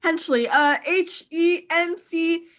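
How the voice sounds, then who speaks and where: A nervous, demanding invalid wife in a 1940s-style radio thriller. Her voice is extremely high. She sounds imperious and fretful, always on the edge of breathless panic. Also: steady tone, takes it slowly, a bit bright, exaggerated voice. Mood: impatient. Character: anxious, demanding invalid wife terrified alone at home.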